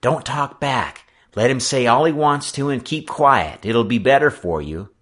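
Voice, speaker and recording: A man's voice: mid-range voice, expressive, unhurried, a bit bright, voice pushed up. A man voicing a loyal, plucky young sailor lad in an audiobook, firm, wary and plain-spoken. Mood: urgent warning. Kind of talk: audiobook character acting.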